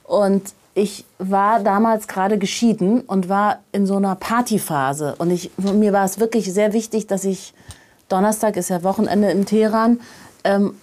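Animated woman chatting as interview guest. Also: mid-range voice, normal speed. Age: middle-aged. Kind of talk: casual interview chat, personal anecdotes.

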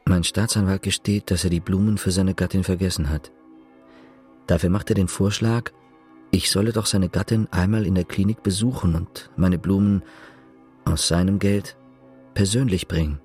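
An actor playing a sober, detached narrator in a German literary radio drama, measured and dryly ironic. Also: male voice, low-pitched, steady tone, darkish tone, faint music behind, close to an everyday voice. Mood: dry, observing.

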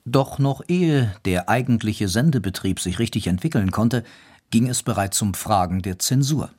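A man narrates a radio documentary from a prepared script. His voice sits on the low side, and he delivers it as scripted narration. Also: lively intonation, moderate pace, a little mellow, husky.

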